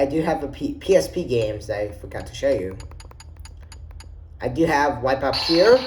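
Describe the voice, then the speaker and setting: A man's voice: medium pitch, darkish tone, slight background noise. A man showing his handheld game collection, talking casually and enthusiastically, often restarting his sentences. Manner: rambling, enthusiastic, frequent restarts. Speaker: gaming YouTuber. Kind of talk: casual menu walkthrough.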